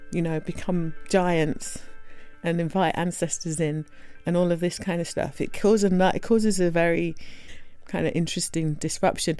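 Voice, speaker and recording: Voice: mid-range voice, darkish tone. A speaker in a reflective discussion, talking thoughtfully and searching for words.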